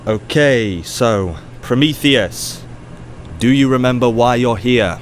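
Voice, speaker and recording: A man's voice: medium pitch, slow, slight background noise, lightly acted. Wry, weary professor played by a man. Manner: dry, sarcastic, mildly exasperated. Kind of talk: naturalistic audio drama acting.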